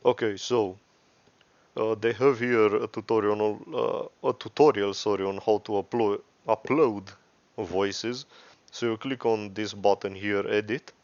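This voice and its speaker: Slow low-voiced man giving a tutorial. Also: fairly even intonation, a little mellow, no echo, dull audio. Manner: rambling, profane, self-correcting. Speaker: tutorial presenter. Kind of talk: casual unscripted screen-share software tutorial.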